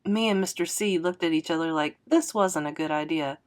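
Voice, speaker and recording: A woman's voice: low-pitched, brisk. Woman reading a storybook aloud. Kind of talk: expressive storybook narration.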